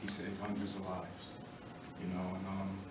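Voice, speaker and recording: A middle-aged American man giving earnest, halting, impromptu testimony at a public meeting, pausing between short phrases. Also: sits low, completely flat delivery. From Norwalk, Connecticut, United States. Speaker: former boxer speaking in support of a youth program. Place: indoor meeting room, far from the mic. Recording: echoey, muffled, distant, noisy room recording.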